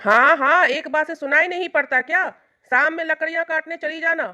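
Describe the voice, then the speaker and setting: Woman playing a nagging cruel mother-in-law, middle-aged, very high voice, bright and forceful, storybook villain. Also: moderate pace, dull audio. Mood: bossy, harsh. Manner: shrill, nagging, accusing. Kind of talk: Hindi moral storybook acting.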